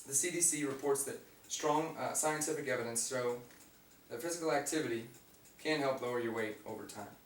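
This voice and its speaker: Raspy young man, student speech, picked up from far off in an echoey room with faint hum. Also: mid-range voice, brisk. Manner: reads from prepared notes with fillers and restarts. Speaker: student giving a class speech. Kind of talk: informative class speech. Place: classroom.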